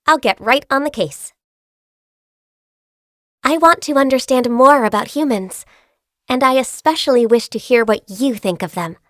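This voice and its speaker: An actress voicing a gentle, shy and diligent young female secretary in a video game, soft-spoken, earnest and a little hesitant. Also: high voice, normal speed, pauses often, dry recording, studio quality. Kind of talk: video game character voice lines. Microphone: close studio mic.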